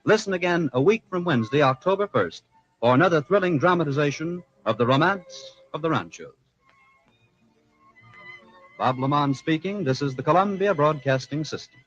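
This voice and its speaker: Male 1940s radio announcer, American, formal scripted sponsor reads, slightly high-set voice, muffled old broadcast. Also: expressive, normal speed, fairly bright tone. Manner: formal, polished delivery. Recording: dull vintage radio broadcast with music.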